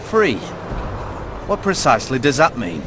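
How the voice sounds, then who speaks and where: Sneering game monarch, swooping intonation, condescending, theatrical, music behind. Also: male voice, medium pitch, huge pitch swings, unhurried. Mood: probing. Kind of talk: video game dramatic dialogue.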